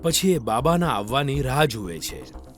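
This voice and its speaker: A man's voice: mid-range voice, very animated, measured pace. A man narrating a scripted moral story for children. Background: faint music.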